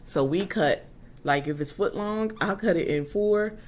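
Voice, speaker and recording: A husky-voiced woman in her forties hosting a casual livestream chat, talking warmly and loosely with her viewers with lots of ums. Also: mid-range voice, unhurried. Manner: chatty and warm toward her viewers, frequent ums. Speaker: livestream host.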